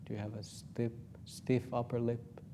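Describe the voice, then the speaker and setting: A man from Finland with a Finnish accent, a Buddhist monk leading a guided meditation in an informal, gently joking way with soft, slow guiding phrases. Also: medium pitch, little pitch movement, noisy background.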